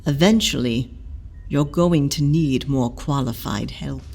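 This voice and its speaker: A woman playing an elderly matron healer who is blunt, motherly and teasing, and who talks in a slow, dry, scolding way. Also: extremely low pitch, creaky, darkish tone, faint music behind, heavily acted. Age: older. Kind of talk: audio drama acting.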